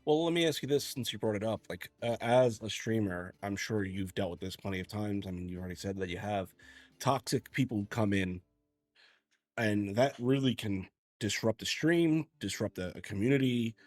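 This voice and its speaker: A man hosting an interview in casual chat, relaxed, asking questions and giving brief acknowledgments. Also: fairly low pitch, normal speed, dry recording, soft background music. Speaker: interview host.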